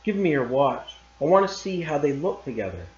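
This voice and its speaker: A man's voice: a little high, very animated, a little fast, slight background noise. A man voicing a young loving wife, speaking in an earnest, pleading way. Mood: eager. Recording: roomy, slightly dull recording.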